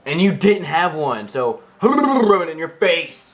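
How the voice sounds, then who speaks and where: A man's voice: fairly high pitch, very animated, slow. A man doing casual, joking gameplay commentary in a hyper, goofy way as a let's play host. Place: room at home, beside a TV playing the game. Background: video game music from a TV.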